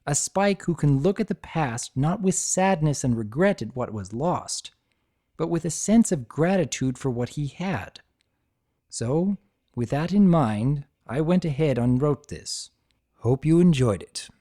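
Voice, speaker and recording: A man's voice: a little high, animated, unhurried, dark tone. A man hosting a story reading, delivering scripted commentary in an earnest, emphatic manner. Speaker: fan fiction reader and channel host.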